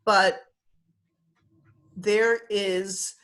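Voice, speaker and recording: A woman's voice: mid-range voice, fairly even intonation, drawn-out words, a bit bright. A young woman with a Michigan accent, talking casually as an interview guest over a video call, with lots of fillers and easy laughter. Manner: chatty with frequent fillers, laughs often.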